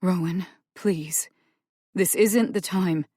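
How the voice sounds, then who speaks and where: A woman voicing a calm, gentle woman who soothes others softly but firmly. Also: fairly low pitch, slow, husky, dry recording, studio quality. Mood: soothing. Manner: soothing, firm, soft.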